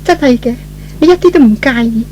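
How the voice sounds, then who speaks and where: Man voicing a high-pitched tender young woman. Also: animated, fast, mellow, a little hiss or hum. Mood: anxious, guilty. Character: tender, self-reproaching young woman in love. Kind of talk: old Cantonese radio melodrama acting.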